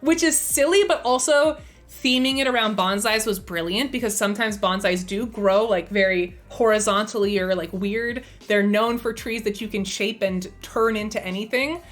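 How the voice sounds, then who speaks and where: A woman's voice: mid-range voice, normal speed. Chatty woman streamer, slightly strained voice. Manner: chatty, enthusiastic, thinks aloud with fillers. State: slightly strained, losing her voice. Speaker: streamer and host. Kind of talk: casual live-stream chat.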